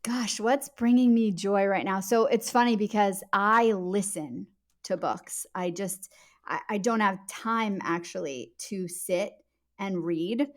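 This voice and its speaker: Female author guest, medium pitch, relaxed conversational interview delivery. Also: slow.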